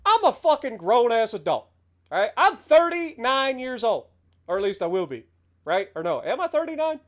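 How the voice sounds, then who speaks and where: A man's voice: very high voice, very animated, moderate pace, a bit bright. A man in his late thirties, a game streamer, talking through a heated, sarcastic, heavily profane rant on his livestream. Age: 30s.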